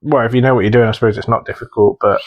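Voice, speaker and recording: Casual male co-host, medium-pitched voice. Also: fairly even intonation, racing, dull audio. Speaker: podcast co-host. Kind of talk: casual banter and judging.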